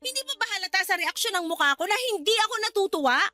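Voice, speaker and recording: A woman's voice: extremely high pitch, expressive, rapid-fire, bright, forceful tone, dry recording, exaggerated voice. A woman acting as an indignant, hurt young girlfriend who argues back sarcastically in a radio drama. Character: exasperated, hurt young girlfriend standing her ground.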